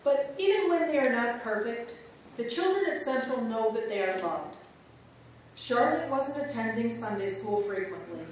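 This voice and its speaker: Woman, higher voice, earnest recitation, heavy church echo, far from mic. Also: a little hiss or hum. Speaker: reader's theater performer. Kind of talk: scripted reading of church history. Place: church sanctuary.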